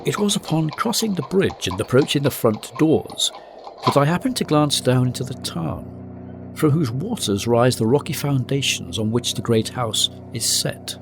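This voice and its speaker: Brooding gothic narrator, swooping delivery. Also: male voice, mid-range voice, lively intonation, normal speed, creaky, background noise. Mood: foreboding. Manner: ominous, literary, hesitant for effect. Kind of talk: dramatic scripted narration.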